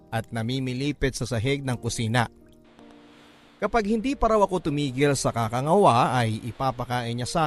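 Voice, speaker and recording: Male narrator, Filipino accent, mid-pitched voice, emotive radio-drama storytelling with measured delivery, music underneath. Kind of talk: dramatic scripted first-person narration in classic radio drama manner. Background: background music.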